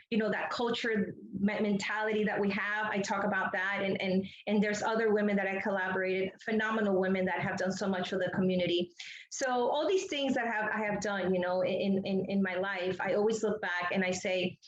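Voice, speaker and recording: A middle-aged woman from Ecuador talks as an interview guest over a video call. Her voice sits at a medium pitch, and she rambles chattily through personal stories. The sound is echoey and a little dull. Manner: chatty, rambling with repeated "you know". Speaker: interview guest, entrepreneur and public speaker. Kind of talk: conversational interview answer, personal storytelling. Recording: roomy, muffled video-call audio.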